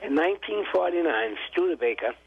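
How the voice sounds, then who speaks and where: Man, husky voice, New England accent, chatty quizzer, dry radio sound. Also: a little high, animated, fairly quick, no room sound, dull audio. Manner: chatty, quizzing, short agreeing replies. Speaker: radio co-host, trivia quizzer. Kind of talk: casual radio chat.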